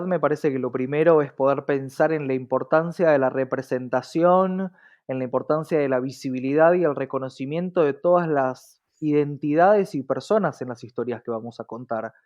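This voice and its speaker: Man, Latin American Spanish accent, somewhat high pitch, explanatory interview guest, frequent check-in tags, muffled lo-fi sound. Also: a little mellow. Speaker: diversity consultant, interview guest. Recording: dull, lo-fi sound.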